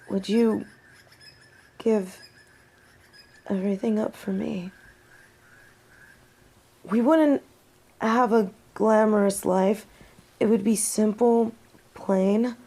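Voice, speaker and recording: A young woman playing a devoted half-wolf heroine in a romantic audio drama, speaking earnestly and tenderly with halting pauses, as if to one loved person. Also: fairly high pitch, vocal fry, mellow, heavily acted. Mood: tender, vulnerable. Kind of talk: romantic audio roleplay acting, intimate one-sided dialogue.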